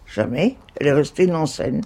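Elderly woman in her eighties, lively pitch, mellow dark tone, matter-of-fact and emphatic. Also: normal speed, vocal fry. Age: 80s. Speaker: interviewee.

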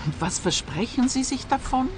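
An actress playing a haughty, disdainful and opinionated art lover in a radio play, with a lofty, declaiming manner. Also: female voice, high voice, animated, normal speed, background noise. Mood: aloof. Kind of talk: radio crime play acting.

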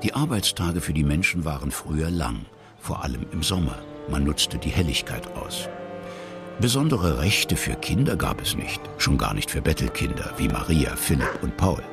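An actor as the measured narrator of a historical radio play for children, telling the story in scripted narration. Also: male voice, very deep voice, sing-song, music in the background, exaggerated voice. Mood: calm, grave. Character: calm male storyteller of a historical children's tale.

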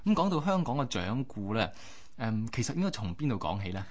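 A man's voice: lowish voice, very animated, brisk. A man with a Hong Kong accent co-hosting a radio show in a conversational presenting style. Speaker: radio co-host.

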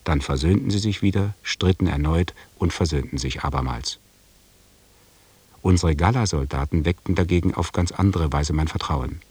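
Ein Schauspieler spielt einen nüchternen Expeditionschronisten, der in einem Hörspiel mit belegter Stimme gemessen und sachlich erzählt. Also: male voice, sits low, a little mellow, husky, dry recording. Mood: sober, observant. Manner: measured, factual. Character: male expedition chronicler, sober and observant. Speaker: narrator in a radio drama. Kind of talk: scripted descriptive narration.

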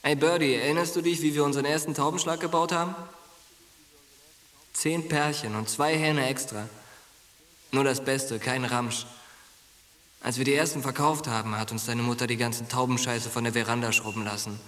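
An actor playing a blunt, earnest young soldier in a radio drama, speaking colloquially. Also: male voice, medium pitch, fairly even intonation, normal speed, pauses often, fairly bright tone, long reverb tail, natural voice. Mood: coaxing. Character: blunt young American soldier pleading with his traumatized friend.

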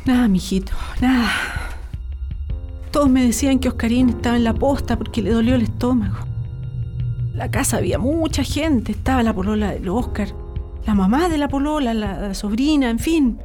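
A woman's voice: on the high side, moderate pace, mellow, natural voice. A woman playing an anxious working-class Chilean mother, agitated and pleading, in a radio drama. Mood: dazed, recalling. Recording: music bed under the voice.

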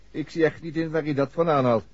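An actor playing a dismissive, offhand suspect with a Netherlands Dutch accent in a 1960s radio drama. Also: male voice, a little high, steady tone, moderate pace, slightly rough. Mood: scoffing. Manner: scoffing, offhand. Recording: muffled old radio recording.

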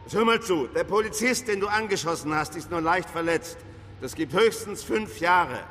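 An actor playing a blustering, sarcastic police chief giving orders in a crime radio drama. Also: male voice, high-pitched, animated, normal speed, a bit bright, big-room sound, slight background noise. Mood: firm negotiating. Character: gruff, irascible male police chief.

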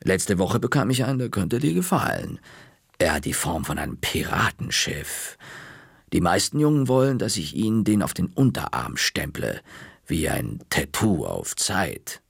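A man voicing a smug, quietly threatening woman librarian, speaking slowly, in a storybook reading. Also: deep voice, big pitch swings, creaky, husky, no room sound, clean studio sound, exaggerated voice. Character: sly menacing woman librarian, middle-aged.

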